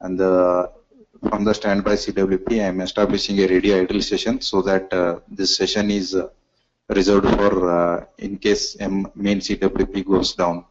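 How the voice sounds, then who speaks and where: A man giving a halting, step-by-step software demonstration as a product demonstrator in a webinar. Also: low-pitched, little pitch movement. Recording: dull lo-fi webinar audio.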